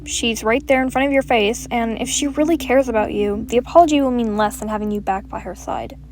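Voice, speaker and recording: A woman's voice: a little high, brisk, close to an everyday voice. A woman playing a haughty, guarded young ice fairy heiress in a voiced roleplay drama, telling her story dramatically. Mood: haughty, exasperated.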